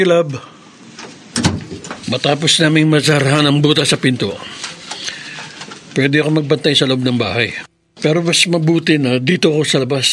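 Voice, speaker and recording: A man's voice: medium pitch, a bit bright, slight background noise, natural voice. An actor playing a brave armed man in a Filipino radio horror drama, speaking dramatically and narrating his own actions aloud. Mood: resolute, reassuring. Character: brave armed man vowing to kill monster monkeys.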